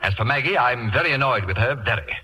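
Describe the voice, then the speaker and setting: An actor playing an imperious, sharp-tongued man in an old radio play, with a pompous, biting, declamatory manner. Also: medium pitch, expressive, fast talker. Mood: exasperated. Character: imperious, sharp-tongued male houseguest, witty and theatrical. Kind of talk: 1940s-50s radio play acting. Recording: dull vintage radio broadcast recording.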